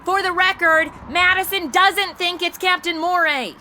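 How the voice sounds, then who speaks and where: Woman playing a bright, brash, sarcastic young American. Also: very high-pitched, fairly even intonation, measured pace, slight background noise, exaggerated voice. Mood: pleading, scheming. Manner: slangy, sarcastic, exaggerated. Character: brash, flippant young modern American woman, self-centered. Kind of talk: comedy audio drama acting.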